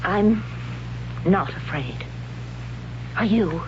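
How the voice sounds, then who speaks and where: A woman's voice: mid-range voice, lively intonation, extremely slow. An actress playing a brave, wondering young tribeswoman in a 1970s radio drama, speaking solemnly in simple phrases. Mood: curious, bold. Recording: dull, noisy old radio broadcast with hum.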